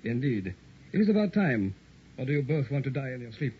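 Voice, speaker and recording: A man's voice: mid-range voice, very animated, fairly quick, slightly rough. A man playing a cautious, grim French inspector, formal and foreboding, in an old radio horror play. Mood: stern, brisk. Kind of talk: 1960s old-time radio horror acting. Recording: muffled old radio recording, background noise.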